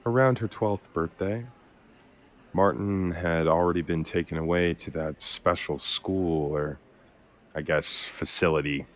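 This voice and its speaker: An actor playing a weary, grieving brother who speaks haltingly and sincerely in naturalistic audio drama dialogue. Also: male voice, low-pitched, fairly even intonation. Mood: bitter, reflective. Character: weary, consoling man grieving a lost sister.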